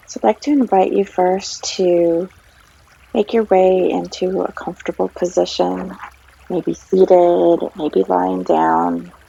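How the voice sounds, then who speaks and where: Female meditation guide, gentle and unhurried, heavy vocal fry, husky breath, stream trickling behind a slightly dull recording. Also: on the low side, little pitch movement. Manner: gentle, unhurried, long pauses between phrases. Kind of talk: guided meditation, soothing narration. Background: flowing stream water.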